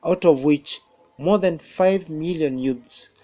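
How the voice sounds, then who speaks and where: A man's voice: a little high, takes it slowly, a little mellow. A man with a Kenyan accent reading a scripted news report as the reporter.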